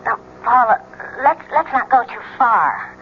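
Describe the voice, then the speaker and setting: An actress playing a breezy but cautious friend in a radio drama, chatty and wry with a wavering way of talking. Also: female voice, fairly high pitch, animated, measured pace, phone-quality sound, slight background noise. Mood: concerned, chatty. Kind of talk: 1970s radio drama acting.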